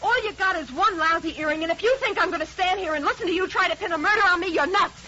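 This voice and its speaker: An actress playing a brassy, scheming femme fatale, slangy and biting, in 1950s radio drama style. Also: female voice, very high voice, fast talker, bright, forceful tone. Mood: indignant. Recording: dull, hissy 1950 radio recording.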